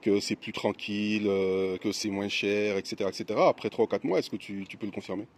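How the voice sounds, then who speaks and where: A man's voice: fairly low pitch, brisk, a little hiss or hum. Informal man asking questions. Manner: teasing, informal. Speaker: vlog host and interviewer. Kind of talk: casual interview chat. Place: outdoors in a residential neighbourhood.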